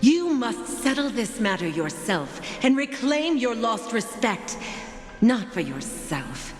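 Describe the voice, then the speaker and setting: A woman's voice: on the high side, big pitch swings, slow. A woman playing an imperious, merciless female judge in a video game, speaking in solemn, grandiose, archaic phrases with echoing reverb. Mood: stern, imperious. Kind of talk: scripted game voice acting, ceremonial monologue. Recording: echoey hall reverb under game music.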